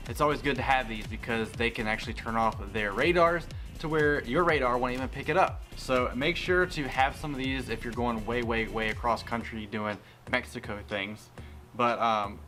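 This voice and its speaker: Male vlog host, mid-pitched, casual and plain-spoken product reviewer, with music underneath. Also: bright, forceful tone. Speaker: host of a car tech review channel.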